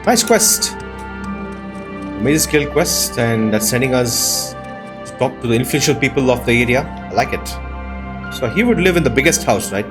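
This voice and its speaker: A man's voice: mid-range voice, expressive, normal speed. A man hosting a playthrough, thinking aloud and exclaiming as he gives casual gameplay commentary. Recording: game music behind the voice.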